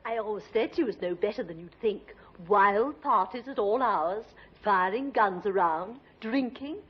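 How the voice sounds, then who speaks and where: A nosy, disapproving neighbour woman in a 1950s radio play. Her husky voice swoops up and down as she chatters with great self-importance. The old recording is muffled. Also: mid-range voice, animated, unhurried. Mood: gossipy. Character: gossipy, disapproving woman neighbour giving a witness statement. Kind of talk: 1950s radio drama acting.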